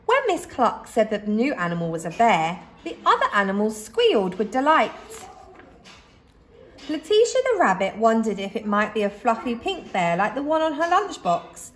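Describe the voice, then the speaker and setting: A woman reading a children's story aloud expressively, like a teacher, with dramatic pauses and emphasis. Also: on the high side, very animated, slight background noise.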